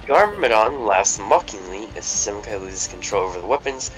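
A man's voice: lowish voice, very animated, normal speed, rough recording. A man reading the stage directions aloud as narrator of a table read, in a plain, matter-of-fact way. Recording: music under the voice.

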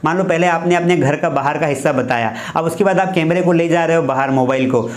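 A young man with an Indian accent and a slightly high voice gives an informal lesson. He explains things step by step like a teacher, in a room with clear echo. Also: fast. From Madhya Pradesh, India. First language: Hindi. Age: 20s. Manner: explanatory, instructive, with example sentences. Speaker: English teacher, host. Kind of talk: informal lesson to camera, mixing Hindi and English. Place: small furnished room at home. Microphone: clip-on lapel microphone. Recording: roomy indoor echo.

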